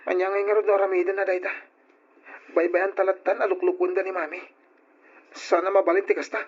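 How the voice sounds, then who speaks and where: A man's voice: sits high, little pitch movement, fairly quick, husky. A man with an Ilocano accent playing a troubled, earnest young son and older brother in old radio drama style. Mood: concerned. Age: young adult. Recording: dull lo-fi old radio recording.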